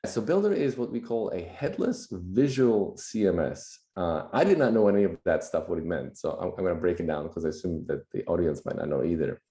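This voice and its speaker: A man with a slightly raspy voice from a recent cold, explaining things plainly and casually as a podcast guest. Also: low-pitched, expressive, brisk, hardly any pauses, warm tone. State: just getting over a cold. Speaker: podcast guest, tech executive. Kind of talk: casual explanatory tech talk. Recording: roomy, echoey sound.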